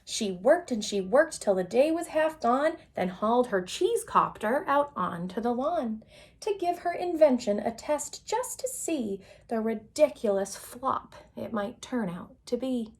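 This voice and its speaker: Slow, higher-voiced woman reading a picture book. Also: expressive. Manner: dramatic emphasis, hushes and swells with the story. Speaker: read-aloud storyteller.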